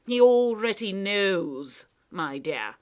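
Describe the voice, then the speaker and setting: A woman's voice: medium pitch, voice pushed up. A woman voicing a scheming male creature who speaks casually and with mock politeness. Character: smooth, scheming male creature, cheerfully menacing.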